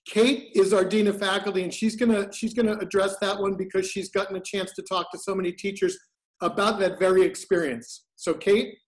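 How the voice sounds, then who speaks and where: A man moderating an online open house, briskly handing the floor to speakers. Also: high voice, steady tone. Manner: brisk, hands turns to speakers.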